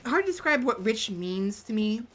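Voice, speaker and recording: A woman with a gravelly, fry-laden voice talks softly and hesitantly into a close microphone while she streams. She circles back and restarts her sentences often. A faint hiss sits under her voice. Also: fairly high pitch, sing-song, moderate pace. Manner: soft, hesitant, self-reflective, many restarts. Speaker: VTuber streamer. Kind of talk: casual chat while livestreaming a game. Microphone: close desk mic.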